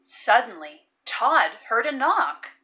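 Female read-aloud narrator for young children: very slow, hugely varied pitch, sing-song and deliberate, stressing key words. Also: sing-song, background noise. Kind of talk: expressive picture-book reading with phonics teaching.

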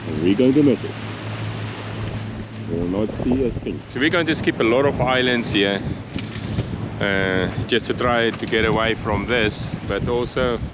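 A man with Afrikaans as his first language talks unhurriedly into an action camera on a catamaran deck, explaining the route with a few playful asides. His voice sits at an ordinary middle pitch. Wind and water noise rush clearly behind him. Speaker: sailing vlogger. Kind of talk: casual vlog narration. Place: deck of a sailing catamaran at sea, outdoors. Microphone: action camera on the boat. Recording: windy outdoor camera audio.

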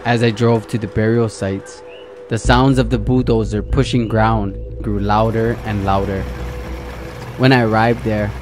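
A man's voice: on the low side, steady tone, unhurried, noisy background. A man in his thirties from the island of Hawaii, narrating his documentary in an earnest way, his voice sometimes catching with emotion. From island of Hawaii, USA. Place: indoor studio set, outdoor forest for interview.

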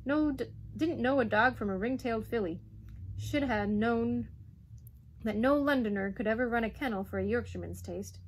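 A woman voicing a gruff, irascible old Yorkshire duke who fumes and snorts in a storybook reading. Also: a little high, moderate pace, slight background noise, lightly acted, poor audio. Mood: scornful. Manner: fuming, snorting, roaring.

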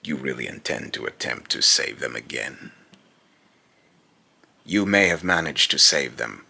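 A man's voice: extremely low pitch, lively intonation, pushed and bright, exaggerated voice. A young man playing a menacing, scornful godlike villain in pompous, theatrical monologue, recorded in a small room at home. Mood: scornful, accusing. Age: young adult. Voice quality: gravelly, raspy. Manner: slow, pompous, theatrical delivery. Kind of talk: dramatic villain monologue, rehearsed voice lines.

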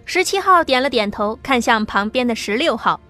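A woman narrating an apocalyptic sci-fi audiobook in a lively, dramatic storytelling manner, with soft music underneath. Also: on the high side, normal speed.

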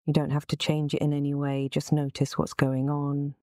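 A woman with a low, soft-toned voice leads a guided relaxation session. She gives gentle, unhurried instructions in a soothing way. The sound is close and dry, with a mellow, relaxed timbre. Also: no echo.

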